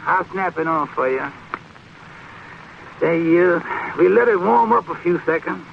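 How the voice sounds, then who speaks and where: A man's voice: sits high, animated, gravelly, a little hiss or hum, heavily acted. An actor playing a tender, devout father who patiently explains a prayer to his child at bedtime in an old radio drama. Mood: fond, fussing. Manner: gentle, slow, patient explaining. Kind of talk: 1940s-50s radio acting. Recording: old muffled radio recording, music behind. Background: choir music from a radio.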